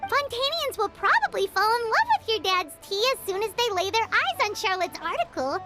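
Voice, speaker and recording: Squeaky, bubbly childlike game companion. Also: female voice, very animated, moderate pace, loud music behind the voice. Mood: reassuring, confident. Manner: bubbly, informal, eager. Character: small cheerful childlike companion, female, eager and chatty. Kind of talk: video game voice acting.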